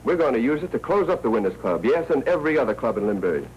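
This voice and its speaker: An actor playing a brisk, commanding American crusading newspaperman in a 1940s crime film. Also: mid-range voice, big pitch swings, a little mellow, natural voice. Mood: determined. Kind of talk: 1940s Hollywood film acting. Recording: dull, noisy old film soundtrack.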